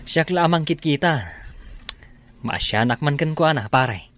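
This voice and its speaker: A man's voice: a little high, lively intonation, unhurried, fairly bright tone. An actor playing a friendly, concerned male buddy in a radio comedy drama. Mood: concerned, urging.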